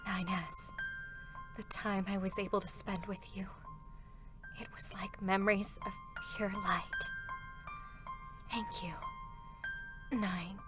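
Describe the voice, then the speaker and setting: A woman acts out a gentle, fading android soldier. She speaks in a hushed, even whisper, slow and tender, with clear music and chimes behind her. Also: medium pitch, natural voice. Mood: tender farewell. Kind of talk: dramatic scripted reading. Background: music with bell-like chimes.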